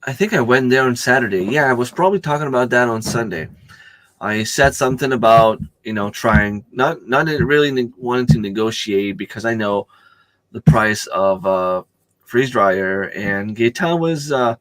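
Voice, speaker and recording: A middle-aged man with a hoarse voice from a cold, chatting casually on a home live stream and greeting viewers by name. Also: mid-range voice, normal speed, a bit bright, no echo, poor audio. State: losing his voice from a cold. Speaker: channel host.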